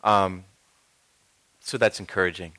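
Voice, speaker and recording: A man moderating an onstage conversation, halting with restarts and stammered repeats. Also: low-pitched, fairly even intonation. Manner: hesitant restarts, warm encouragement. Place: live event with audience.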